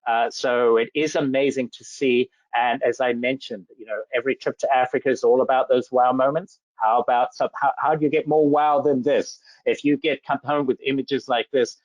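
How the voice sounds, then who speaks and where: A man's voice: mid-range voice, fairly even intonation, normal speed, hardly any pauses, no room sound. A man from Zambia giving an enthusiastic slide presentation about safari travel, full of superlatives. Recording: dull lo-fi dry audio.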